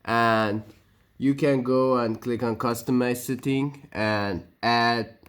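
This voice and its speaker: Slow, halting man, native Persian speaker. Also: mid-range voice, fairly even intonation, fairly bright tone, smooth. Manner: halting, many fillers and restarts, non-native phrasing. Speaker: tech tutorial maker. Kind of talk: screen-recorded walkthrough narration.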